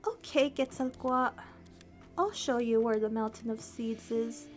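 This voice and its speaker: A woman's voice: fairly high pitch, measured pace, faint music behind, close to an everyday voice. A woman voicing a small, stubborn male ant in a folktale, with an offhand, slangy way of talking. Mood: meek.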